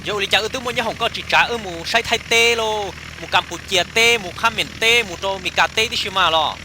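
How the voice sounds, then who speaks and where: Man acting a talkative cartoon guy, high voice, comic dialogue, clear noise behind. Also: fairly quick, close to an everyday voice. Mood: explaining. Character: talkative male comedy cartoon character.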